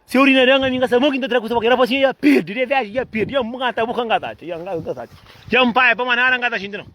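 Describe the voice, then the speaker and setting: A man's voice: very high-pitched, fast, sharp tone. A man from Cape Verde vlogging outdoors in the cold, rambling and repeating himself in a playful way.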